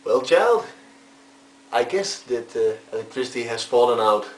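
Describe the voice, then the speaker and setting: A man's voice: mid-range voice, very animated, moderate pace, a little hiss or hum, lightly acted. A man playing a distant, echoey patient father. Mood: patient, explaining. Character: patient father explaining to his child. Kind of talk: amateur acted dialogue. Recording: echoey room, distant microphone.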